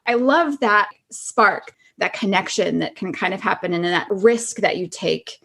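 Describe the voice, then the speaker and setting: A woman's voice: medium pitch, animated, a little slow, gravelly, fairly bright tone. Podcast guest, female, forties, mid-range voice. Informal, rambling, giggly conversational reminiscing. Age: 40s. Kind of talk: casual chat, reminiscing.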